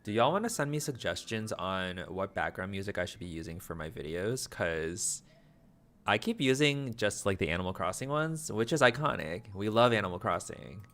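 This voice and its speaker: Chatty low-voiced young man streaming. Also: moderate pace. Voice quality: flat, low-energy delivery. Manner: chatty, self-deprecating, trailing into asides. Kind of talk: casual livestream chat.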